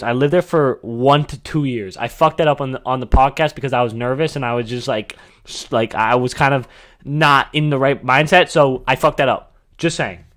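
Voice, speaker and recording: A man's voice: medium pitch, a bit bright, smooth. A man from the United States giving casual live-stream commentary, chatty, talking to his viewers and swearing freely.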